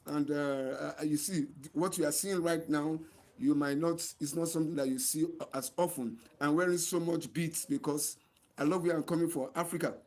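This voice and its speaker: Higher-voiced Nigerian man, earnest live host. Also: steady tone, moderate pace, a little mellow. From Nigeria, Delta State. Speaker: host of an online channel, NGO advocate. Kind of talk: livestream monologue addressed to viewers.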